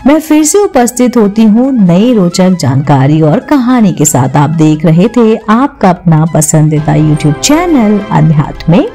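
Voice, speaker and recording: A woman narrating a scripted devotional programme and reciting prayers in a measured, deliberate way. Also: on the low side, big pitch swings, warm tone. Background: music.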